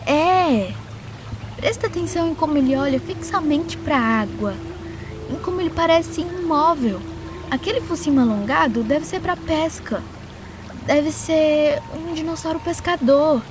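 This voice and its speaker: A woman's voice: high-pitched, animated, a little slow. A woman playing an apprehensive, observant young survivor in an audio drama. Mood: watchful, apprehensive. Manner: apprehensive, earnest. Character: anxious, observant young women stranded among dinosaurs. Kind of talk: audio drama character acting. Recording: music behind the voice.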